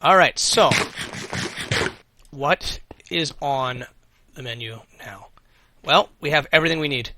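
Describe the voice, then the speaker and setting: A man with a bright, forceful voice, losing his voice and talking over a video game. He hosts a let's play, muttering his plans aloud and swearing casually when things go wrong. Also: a little high, measured pace, slight background noise, low-quality recording. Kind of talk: casual gameplay commentary.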